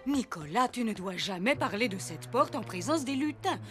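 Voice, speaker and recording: A woman's voice: fairly high pitch, expressive, normal speed, lightly acted. A woman playing a caring, firm guardian figure in a French Christmas cartoon, motherly in manner. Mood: stern. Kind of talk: French animated cartoon dubbing. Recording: music behind the voice.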